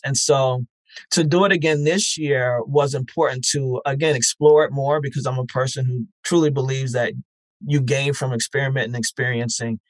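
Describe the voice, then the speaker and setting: A man at a middle pitch speaks as a podcast guest, earnest and measured and stopping for an occasional "um". His voice sounds dry and close to the mic, with no room echo. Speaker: festival founder, interview guest. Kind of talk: interview answers.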